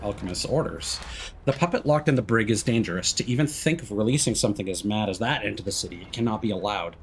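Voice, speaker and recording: Male game streamer, lowish voice, casual let's-play commentary, faint background noise. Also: fast.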